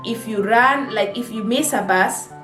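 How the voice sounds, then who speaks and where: A woman's voice: fairly high pitch, measured pace, a bit bright. A young adult woman from Kenya with a Kenyan accent, chatting casually to camera as a vlogger, full of exclamations and asides. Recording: echoey room with faint music.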